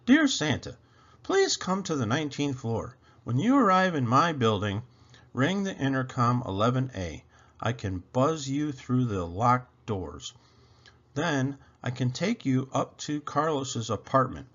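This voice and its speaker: A man's voice: mid-range voice, sing-song, unhurried, fairly bright tone, muffled recording. A man voicing an earnest, hopeful young boy from the city in a storybook.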